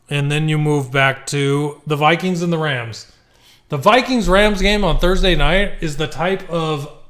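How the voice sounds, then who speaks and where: A man's voice: on the high side, a bit bright. A man co-hosting a casual sports podcast, loose and chatty after a few beers. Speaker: podcast co-host.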